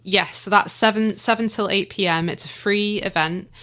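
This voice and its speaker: A woman's voice: medium pitch, steady tone, moderate pace, sharp tone. A young woman, a writer talking about her audio drama as a guest in a casual interview, eager and full of "like" and "um" fillers.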